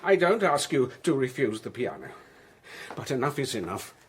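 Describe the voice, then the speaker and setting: A man's voice: mid-range voice, big pitch swings, normal speed, lightly acted. An actor plays an elderly father, ruined and indignant. His delivery is commanding and emphatic, rising and falling dramatically as in period radio drama. There is faint noise in the recording. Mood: stern. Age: older.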